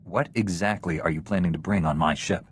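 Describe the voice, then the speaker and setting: An actor playing a principled, serious Jedi knight in a video game, with a deep, measured voice. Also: male voice, slight background noise, close to an everyday voice. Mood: skeptical. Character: earnest, principled, steady male Jedi knight.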